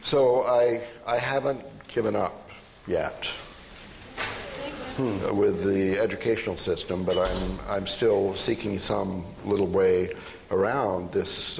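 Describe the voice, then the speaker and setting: A man speaking on a panel in an informal public discussion, with a halting, reflective and wry manner. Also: sits low, vocal fry, a little mellow. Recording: noisy, long hall reverb, live event.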